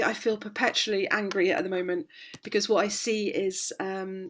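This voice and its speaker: A woman with a slightly hoarse voice, a guest on a video call speaking with indignant passion about parenting. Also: mid-range voice. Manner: impassioned, indignant, with many restarts. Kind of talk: conversational interview, passionate advocacy.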